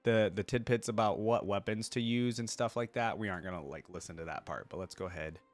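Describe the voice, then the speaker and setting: Lowish-voiced man reacting casually. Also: fast, faint music behind. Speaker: reaction video host.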